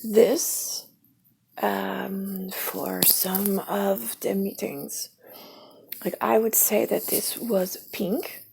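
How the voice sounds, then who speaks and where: A woman's voice: medium pitch, fairly even intonation, extremely slow, gravelly, darkish tone, a little hiss or hum. A woman talking in a rambling, stream-of-consciousness monologue, often sinking into a half-whisper and spelling words out letter by letter. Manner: spells words out letter by letter, drifts between topics.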